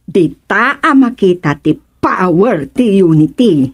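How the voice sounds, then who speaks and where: A woman's voice: mid-range voice, animated, slow pace, husky. A woman with an Ilocano accent playing a proud, opinionated village councilwoman in a radio comedy drama. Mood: proud, teasing. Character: proud, outspoken village councilwoman championing her sector.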